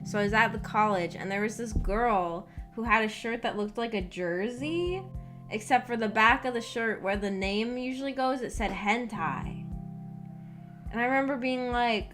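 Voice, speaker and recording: A young woman with a fairly high voice chats casually to her livestream audience. She rambles through personal stories in a giggly, animated manner, leaning often on "like". Music plays behind her. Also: moderate pace. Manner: giggly, animated storytelling with frequent "like". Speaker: streamer hosting a visual novel book club. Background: background music.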